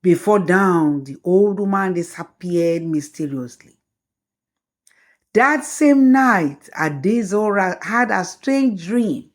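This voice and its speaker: A woman telling a folktale as scripted storybook narration, in a slow, measured way. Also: fairly low pitch, expressive.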